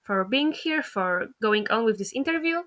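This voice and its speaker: A woman hosting a casual interview, speaking in a halting, appreciative way. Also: a little high, expressive, fairly bright tone. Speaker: interviewer, host.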